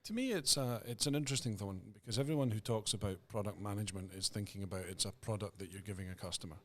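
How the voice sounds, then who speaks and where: Low-voiced Scottish man, relaxed chat. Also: fast talker. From Scotland. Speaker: podcast guest. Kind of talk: casual interview chat.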